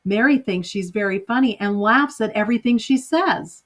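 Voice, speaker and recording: Woman reading a calm patient mother. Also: medium pitch, moderate pace, natural voice.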